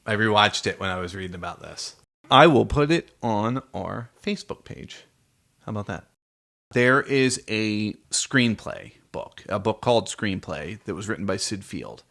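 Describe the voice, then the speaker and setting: A man's voice: fairly low pitch, animated, normal speed, vocal fry, fairly bright tone. A man co-hosting a casual film discussion, explaining trivia and putting questions to his co-host. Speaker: podcast co-host.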